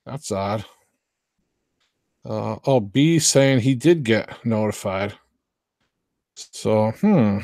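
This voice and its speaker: A man's voice: on the low side, lively intonation, drawn-out words, gravelly, pauses often, darkish tone, dry recording, clean studio sound. A man hosting a live stream, chatting casually with viewers in a hesitant, unpolished way, often trailing off. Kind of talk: casual rambling chat with viewers.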